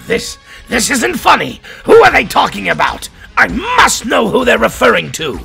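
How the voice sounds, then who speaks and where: A man playing a surly, blustering mad doctor in an audio drama, with a raspy, gravelly voice. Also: very high-pitched, wildly swooping pitch, moderate pace, pushed and bright, shouting, faint music behind, exaggerated voice. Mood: angry, distressed. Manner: blustering, theatrical. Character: surly, demanding male mad doctor, easily alarmed. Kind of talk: amateur audio drama acting.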